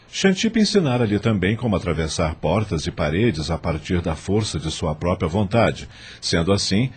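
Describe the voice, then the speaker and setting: A man's voice: sits low, husky. A man with a Brazilian accent narrating an audio novel as scripted, dramatic storytelling.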